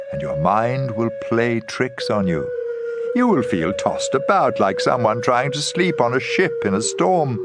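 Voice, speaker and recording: An actor playing a stern sage in a dramatized scripture reading, giving emphatic warnings. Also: male voice, on the low side. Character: stern male sage warning against drink and fools. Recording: music playing behind the voice. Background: guitar and instrumental music.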